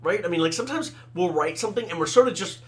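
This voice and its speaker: Man, middle-aged, first language Tamil, slightly higher voice, casual podcast monologue, lots of fillers. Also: lively intonation, fast. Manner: conversational, many fillers and "right?" asides. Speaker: podcast host, author and game designer. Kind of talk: casual solo talk on writing craft.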